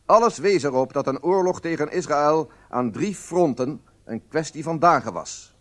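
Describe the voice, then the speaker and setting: An actor playing a proud, solemn head of a secret service, narrating a radio drama in a formal, measured way. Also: male voice, a little high, animated, close to an everyday voice. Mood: solemn, factual. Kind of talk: scripted first-person radio drama narration.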